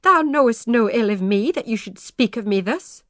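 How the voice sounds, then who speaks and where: A woman's voice: fairly high pitch, animated, normal speed, no room sound, studio quality, pitched above the performer's own voice, close to an everyday voice. A woman voicing a timid, embarrassed farmer's wife pleading in old-fashioned words. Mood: flustered.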